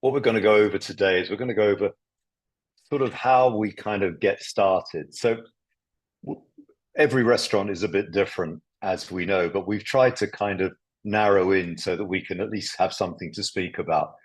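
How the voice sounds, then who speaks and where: A man chairing a business webinar, speaking in a relaxed, conversational way with lots of hedging words. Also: low voice, normal speed, breathy edge, rough recording. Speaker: company chairman. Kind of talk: webinar presentation.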